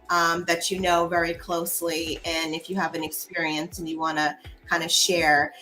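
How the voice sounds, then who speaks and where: Woman, young adult, host, bright voice, earnest, video call audio. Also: mid-range voice, rough recording. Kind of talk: casual livestream discussion.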